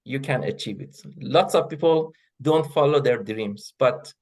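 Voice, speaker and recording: Hesitant Persian-speaking man, measured answers. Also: mid-range voice, darkish tone. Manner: hesitant, many fillers and restarts. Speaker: guest, associate professor of linguistics. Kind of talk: interview answers.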